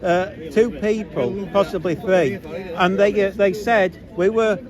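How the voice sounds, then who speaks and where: An indignant man with a fairly high voice complains in a rambling, heated way, restarting his sentences. He is recorded outdoors on a city street on a handheld phone. A protest crowd murmurs steadily behind him. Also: measured pace. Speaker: protester filming a street confrontation. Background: loud protest crowd.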